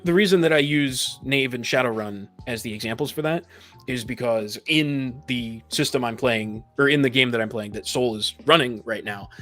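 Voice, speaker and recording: A man with a mid-pitched voice speaks in a casual, analytical podcast discussion. He explains his points step by step and concedes where he might fall short. Light music plays underneath. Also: fairly bright tone. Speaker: podcast panelist. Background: background music.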